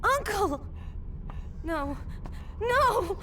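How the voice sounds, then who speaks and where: A gentle, earnest young noblewoman for a video game. Her voice is high and lively and her delivery slow. She sounds set back from the microphone, with music behind. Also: echoey room, far from the mic, heavy background noise, heavily acted. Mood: grieving.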